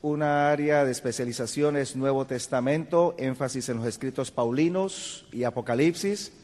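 Pastor guy from colombia, explains calmly, echoey. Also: medium pitch. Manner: measured, explanatory. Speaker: pastor and theology professor. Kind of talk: panel discussion. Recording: long hall reverb.

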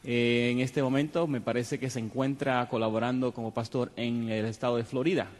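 Male TV panel host, courteous, halting, long hall reverb. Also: mid-range voice, level tone. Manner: hesitant, many fillers, courteous. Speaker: program host and panel moderator. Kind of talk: religious TV panel discussion.

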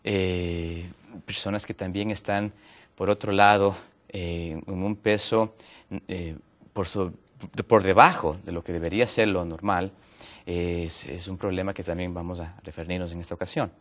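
Low-voiced Ecuadorian male health counselor. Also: fairly even intonation, normal speed. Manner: hesitant, many fillers, rises in pitch when making points. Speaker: guest, natural medicine health counselor. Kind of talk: explaining on a TV talk show.

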